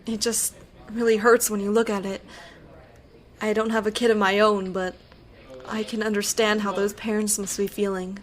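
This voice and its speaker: A woman's voice: medium pitch, flat delivery, normal speed, lightly acted. A woman acting an earnest, troubled police officer in a conversational radio drama.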